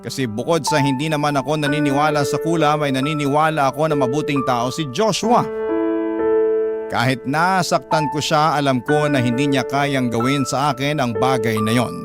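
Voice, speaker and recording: A man reading a first-person letter aloud for a radio drama in an earnest, measured storytelling tone. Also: on the high side. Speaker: letter reader. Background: loud background music.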